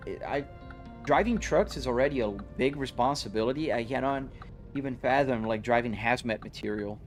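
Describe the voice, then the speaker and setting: A man in his forties talks loosely to his viewers while he drives, explaining his setup in an easygoing, conversational way. His voice sits in a middle register, with faint music playing behind him. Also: mid-range voice. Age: 40s. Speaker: streamer. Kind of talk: live-stream chat while driving.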